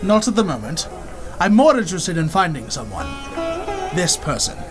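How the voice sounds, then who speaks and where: A man's voice: fairly high pitch, sing-song, normal speed, music in the background, crowd noise. An actor playing a famous, swashbuckling explorer in a 1930s-style radio serial, telling his adventures in a grand, confident way. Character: bold, world-famous male explorer, Harrison Hightower.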